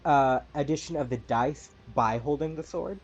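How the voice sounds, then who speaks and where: A man's voice: on the high side, normal speed, muffled recording. A man playing a tabletop game and chatting casually about the rules. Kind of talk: casual chat.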